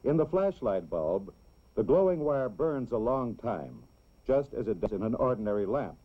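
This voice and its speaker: A man's voice: medium pitch, big pitch swings. Male educational film narrator, dull lo-fi soundtrack. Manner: measured, clearly explanatory delivery for children.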